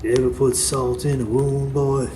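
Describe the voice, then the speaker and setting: A man's voice: medium pitch, little pitch movement, normal speed, dark tone, close to an everyday voice. A man playing a wise, stern old folk healer in a live radio drama, terse and commanding as he instructs. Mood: grave, instructive. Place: stage before a live studio audience. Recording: live stage recording with background noise.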